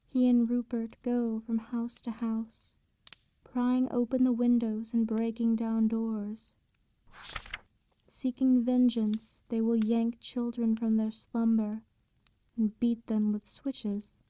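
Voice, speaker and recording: A woman playing an immortal vampire in an ASMR roleplay, speaking softly and formally, with condescending tenderness and quiet menace. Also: a little high, level tone, dry recording. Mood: ominous storytelling. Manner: formal archaic diction, unhurried, teasing. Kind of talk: slow ASMR roleplay, intimate bedtime storytelling.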